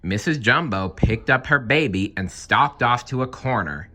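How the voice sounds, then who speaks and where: A man reading a children's story aloud in an expressive, animated narrating style. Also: medium pitch, moderate pace. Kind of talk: children's read-aloud narration with playful asides.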